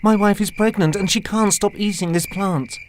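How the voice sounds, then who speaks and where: An anxious young husband in a children's cartoon, voiced by a man, very high for a male. He pleads earnestly and apologetically over faint night-like background noise. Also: normal speed, lightly acted. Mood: fearful pleading. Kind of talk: children's fairy tale cartoon acting.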